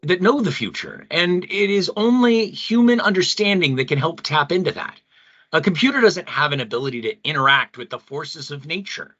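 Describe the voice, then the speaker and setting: Man acting devout spiritual debater, indignant, impassioned, bright forceful voice, fairly high for a man, audio play, dry sound. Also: no echo, dull audio, heavily acted. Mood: fervent.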